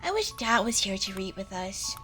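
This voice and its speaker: A woman's voice: mid-range voice, sing-song, normal speed, bright, forceful tone, soft background music. A woman playing a childlike, enthusiastic young twin girl in a children's audio drama. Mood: wistful. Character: playful, wide-eyed young girl, a twin sister.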